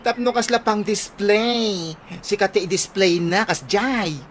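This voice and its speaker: A woman's voice: mid-range voice, slow, close to an everyday voice. A woman playing a vain, envious, theatrical woman in a comedy radio drama, exclaiming and whining. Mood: envious, complaining. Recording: radio drama with clear background noise.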